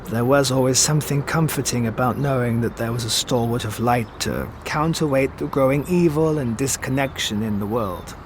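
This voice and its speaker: An actor playing a wistful, evasive immortal man in an audio drama, speaking with theatrical eloquence that turns halting when he is pressed. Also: medium pitch, noisy background, close to an everyday voice. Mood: grieving, bitter.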